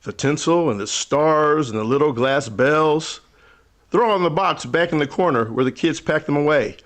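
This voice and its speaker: An actor playing a kindly older rural storekeeper in a radio play, folksy, halting, hospitable and a little wistful. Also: male voice, a little high, natural voice. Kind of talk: old-time radio drama acting.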